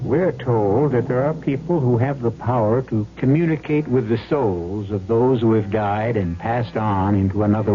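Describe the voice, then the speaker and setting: Old time radio mystery host guy, measured solemn narration, faint music behind, muffled sound. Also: mid-range voice.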